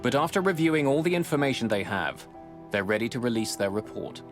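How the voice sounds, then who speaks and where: A man giving scripted, dramatic documentary narration in a measured, grave way, close on a studio mic. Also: mid-range voice, big pitch swings. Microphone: close studio voice-over mic. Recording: music bed under narration.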